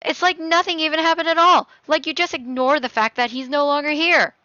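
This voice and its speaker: A woman voicing a grieving, defiant teenage daughter in a dramatic story reading, halting and emotional. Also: mid-range voice, lively intonation, a bit bright, no room sound, dull audio, natural voice. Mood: angry, hurt. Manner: halting, pleading, rising to heated outbursts.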